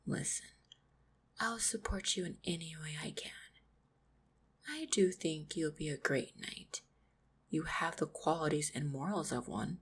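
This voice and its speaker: A woman voicing an affectionate, supportive orc fiancée in an intimate roleplay audio, speaking tenderly and reassuringly with gentle teasing, often close to a whisper. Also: deep voice, lively intonation, a little slow, pauses often, exaggerated voice. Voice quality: breathy, husky, often near-whispered.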